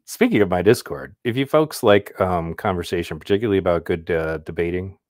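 A man's voice: deep voice, brisk, darkish tone, no echo, studio quality. Rambling man hosting a live stream. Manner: rambling, reads chat messages aloud. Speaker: livestream host. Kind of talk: casual live reaction commentary.

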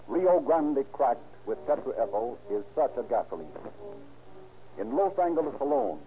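A man with an American accent delivering scripted 1930s radio announcing and narration. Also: mid-range voice. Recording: tinny, noisy vintage radio broadcast.

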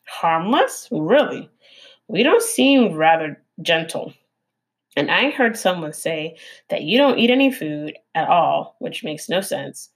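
A woman voicing a dramatic female opossum who fancies herself an actress, talking in a grand, showy way with a husky edge. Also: fairly low pitch, very animated, takes it slowly, full character voice. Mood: wary.

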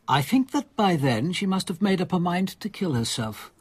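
Composed, husky police commander. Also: male voice, high voice, big pitch swings, moderate pace, exaggerated voice. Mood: firm, reflective. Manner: polite, careful, probing. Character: composed, courteous, probing male police commander. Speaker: actor in a radio drama. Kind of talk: radio drama dialogue.

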